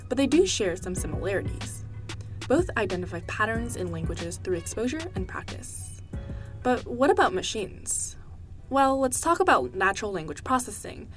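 Lively woman, native Korean speaker. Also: mid-range voice. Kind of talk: scripted explainer narration.

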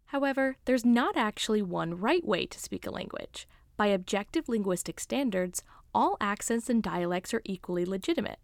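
A woman's voice: mid-range voice, expressive, normal speed, hardly any pauses, no echo, studio quality. A woman narrator reading a scripted explanatory piece in a documentary style.

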